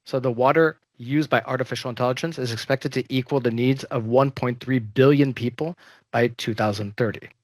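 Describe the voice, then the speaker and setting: A man moderating a panel discussion, opening with remarks in a measured way and many hesitations. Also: medium pitch, steady tone, dry recording. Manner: measured, with frequent um fillers.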